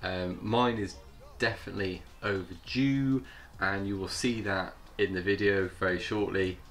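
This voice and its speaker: A man with a cold, sounding hoarse, hosting his vlog in a chatty, hesitant way. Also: low voice, steady tone, unhurried, a bit bright. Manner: chatty, hesitant with many fillers. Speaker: channel host. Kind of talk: casual vlog intro.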